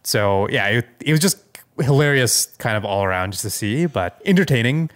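A man's voice: medium pitch, expressive, moderate pace, fairly bright tone. A man co-hosting a podcast in a casual, rambling tech chat, informal and full of loose asides. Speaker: podcast co-host.

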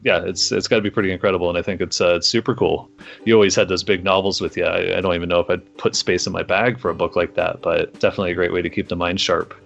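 A man's voice: sits low, little pitch movement, quick pace, gravelly, fairly bright tone, highs cut off. A man hosting a casual conversation, easygoing and chatty, with light laughs.

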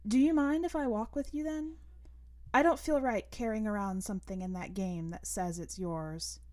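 A woman voicing an excited, friendly teenage girl gamer who talks in an eager, chatty, questioning way. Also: mid-range voice, normal speed, no room sound, close to an everyday voice. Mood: hesitant.

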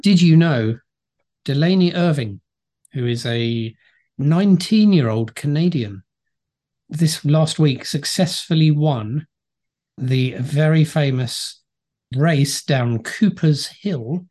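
A man's voice: a little high, lively intonation, slow, a little mellow. A man hosting a podcast, upbeat and friendly, interviewing his co-host. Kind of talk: casual podcast chat.

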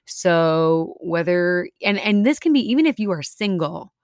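A woman's voice: fairly low pitch, lively intonation, a little slow. A woman from Minnesota with a Minnesota accent, co-hosting a faith podcast in an earnest, encouraging way. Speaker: podcast co-host. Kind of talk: casual faith podcast chat.

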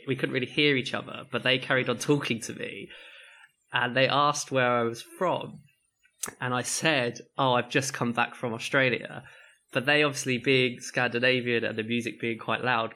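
A young Englishman with a bright, forceful voice chats loosely with a friend. He tells long, winding stories and laughs as he goes. His pitch sits right in the middle for a man. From England. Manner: rambling storyteller, laughs often, mimics people in higher voices. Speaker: podcast co-host. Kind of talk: casual chat.

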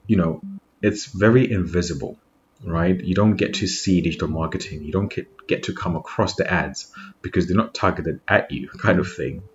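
A very deep-voiced man from Zimbabwe talks in a relaxed, reflective way as the host of his own show. The recording is dull and lo-fi, with a faint hiss underneath. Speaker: podcast host. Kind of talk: casual talk. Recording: dull lo-fi sound with faint noise.

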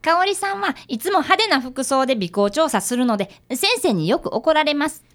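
A woman's voice: high-pitched, animated, normal speed, fairly bright tone. A woman playing an earnest, very polite schoolboy apprentice detective who narrates a radio drama. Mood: eager, polite. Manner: very polite, formal speech.

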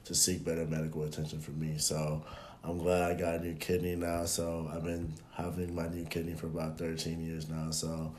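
A man's voice: a bass voice, normal speed, reverberant, slight background noise. A man in his twenties from Benin, whose first language is French, talking casually as a podcast guest and telling stories with plenty of fillers. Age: 20s. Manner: relaxed storytelling with frequent fillers.

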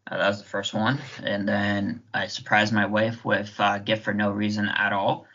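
A man of about thirty-five co-hosting a casual podcast, friendly and quick to laugh. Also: on the low side, monotone, bright, forceful tone, muffled recording, slight background noise. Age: 30s. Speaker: podcast co-host.

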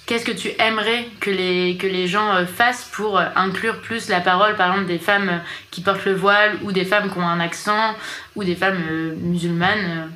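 A woman's voice: medium pitch, fairly even intonation, moderate pace, sharp tone. A woman interviewing a guest, asking probing questions in a conversational way.

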